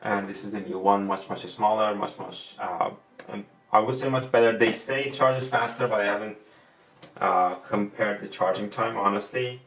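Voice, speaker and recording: A man with a Persian accent, a non-native English speaker, giving a casual gadget review in a halting way that often repeats words and restarts sentences. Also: fairly low pitch, a bit bright. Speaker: tech reviewer. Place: room at a desk, indoors. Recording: roomy, distant mic with faint music.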